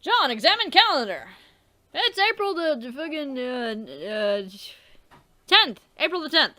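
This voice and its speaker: A young woman with a very high, bright voice reads a webcomic aloud in a theatrical way, her pitch jumping all over the place. She swears freely and drifts into offhand, rambling asides as she hosts a let's play. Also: sing-song, a little slow. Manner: theatrical reading, swears and trails off. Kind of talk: reading a webcomic aloud with commentary.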